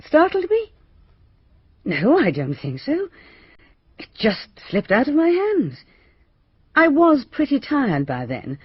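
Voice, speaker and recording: Radio drama hostess: middle-aged, British, brisk and well-bred, higher voice, roomy muffled recording. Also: female voice, huge pitch swings, heavily acted. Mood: guarded, puzzled. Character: genteel, brisk, guarded English village hostess, middle-aged.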